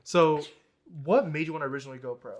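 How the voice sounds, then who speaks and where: Fast-talking young male podcast host. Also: a little high. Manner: easygoing, quick, agreeable backchannels. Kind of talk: casual interview hosting.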